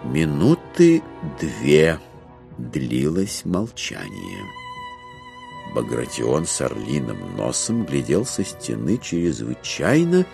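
A man narrating a literary audio drama in an expressive, theatrical storytelling style. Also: very deep voice, slow, creaky, over music.

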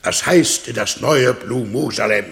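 A man's voice: mid-range voice, measured pace, gravelly, fairly bright tone. An actor playing a grandiose man who orates like a ruler, declamatory and solemn, in 1960s German radio play style. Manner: declamatory, solemn, pompous oratory. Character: grandiose, bombastic Irish everyman turned orator and ruler.

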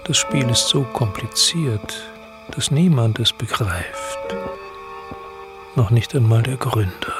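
An actor playing a cryptic, oracular narrator with a creaky voice, speaking deliberately in a radio drama monologue. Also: male voice, mid-range voice, animated. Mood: cryptic, measured. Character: cryptic, oracular male narrator expounding a game. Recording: loud music under the voice.

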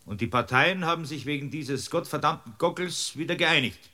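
Blustering, bright-voiced Bavarian judge. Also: male voice, a little high, brisk. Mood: dry, official. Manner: blustering, sarcastic, barking orders. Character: irascible, impatient male village-court judge. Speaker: actor. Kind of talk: German radio drama acting.

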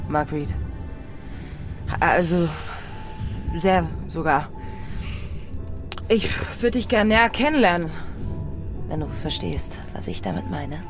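An actress playing a bold, proud female pirate in a German audio drama, with a direct and insistent manner. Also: lowish voice. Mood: flirtatious, intimate.

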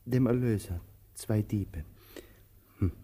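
An actor in a German radio play playing a talkative, brooding tramp, pompous yet fond, with theatrical, halting musing. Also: male voice, fairly low pitch, mellow, breathy edge. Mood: earnest, musing. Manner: theatrical, musing, mock-formal, halting for effect.

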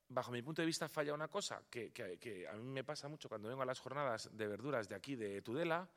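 A man's voice: medium pitch, a bit bright. A man from Spain giving a public talk on nutrition. He speaks emphatically, asks the audience rhetorical questions, and turns conversational when he takes questions afterwards. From Spain (Palma de Mallorca). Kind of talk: public lecture, then answering audience questions.

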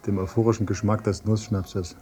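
A male actor playing a grown son, a teacher, who questions and narrates in a measured, probing way in a German radio drama. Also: lowish voice, little pitch movement, warm tone, faint music behind, lightly acted. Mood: sober, detached. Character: accusing grown son, a teacher probing his father's past.

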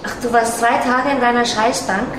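An actress playing a blunt, foul-mouthed, exasperated wife in a naturalistic radio drama. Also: female voice, on the high side, steady tone, normal speed, lightly acted. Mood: angry, accusing. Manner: blunt, swearing, colloquial. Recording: echoey room with clear background noise.

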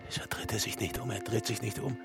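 An actor playing a tormented, broken prisoner in a radio drama, pleading and muttering in fragmented repetitions between whispers and outcries. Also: male voice, lowish voice, fairly even intonation, quick pace, over loud music, close to an everyday voice. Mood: dazed. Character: tormented male prisoner under torture, broken, delirious, pleading. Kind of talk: German radio drama acting.

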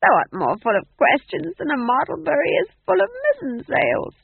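Jester, squeaky and nervous, lively voice, man acting it. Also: normal speed, creaky, no room sound, phone-quality sound, heavily acted. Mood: panicky.

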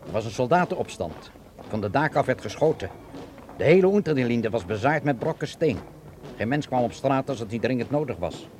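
A courteous German guide played by a male actor in a radio play. He speaks at a middle pitch with formal gravity, over faint street noise. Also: a little mellow, close to an everyday voice. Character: courteous German gentleman guide recalling wartime Berlin, grave. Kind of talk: radio drama dialogue.

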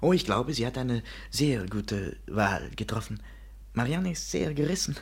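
A vain young male painter in a vintage radio play. His voice is husky and mid-pitched, and he speaks in grandiose, flowery phrases with theatrical, self-important charm. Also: lively intonation, a little slow, exaggerated voice. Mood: smug, pompous. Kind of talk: 1950s German radio play acting.

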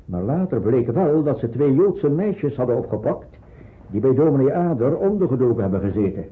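A man's voice: mid-range voice, sing-song, moderate pace, close to an everyday voice. An actor playing a practical, cautious man who helps people in hiding, matter-of-fact and instructing, in a Dutch radio play. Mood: grave. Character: practical, cautious male resistance helper guiding refugees. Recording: muffled narrowband old radio play.

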